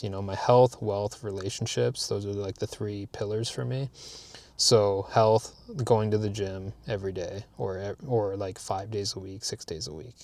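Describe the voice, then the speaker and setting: A man's voice: lowish voice, a little mellow, dry recording. Rambling Canadian man vlogging from his car. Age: 30s. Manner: rambling, candid, lots of fillers and restarts. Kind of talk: casual talking-head vlog. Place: inside a parked car.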